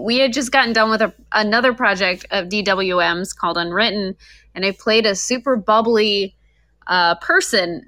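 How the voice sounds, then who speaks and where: Bright-voiced woman, informal and self-deprecating. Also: a little high, no room sound. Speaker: voice actor. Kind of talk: casual panel discussion.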